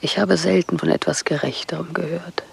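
A woman playing a bitter, hunted wife from a psychiatric clinic in a radio play, terse and intense, her voice husky and breathy, often near a whisper. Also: fairly low pitch, fairly even intonation, brisk, full character voice. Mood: cold resolve.

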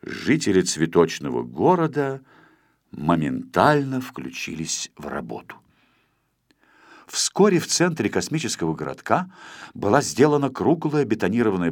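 Animated low-voiced man narrating a children's story. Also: no echo, clean studio sound. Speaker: audiobook narrator.

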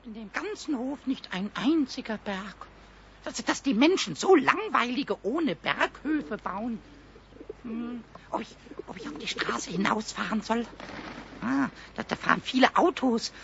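A man's voice: extremely high pitch, animated, measured pace, vocal fry, a bit bright, full character voice. A man voicing a cheeky, childish little kobold in a children's radio play, with a squawky, eager, repetitive way of talking. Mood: restless, scheming. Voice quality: squawky, squealing, reedy. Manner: eager, repetitive, stammering, whining. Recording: dull, noisy vintage radio recording.

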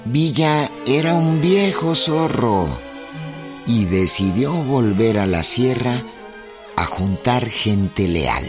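Slow, animated history narrator. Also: male voice, medium pitch, over music. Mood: measured storytelling. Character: storytelling narrator of a revolutionary history. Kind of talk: scripted radio drama narration.

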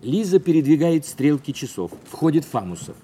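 A man's voice: on the high side, very animated, normal speed, warm tone, a little hiss or hum. A man giving scripted narration of stage directions as a drama narrator.

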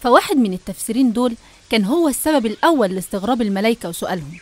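A woman's voice: fairly high pitch, expressive, racing. A woman with an Egyptian accent narrating a scripted religious story in a didactic way, speaking straight to the listener. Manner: didactic, addressing the listener directly.

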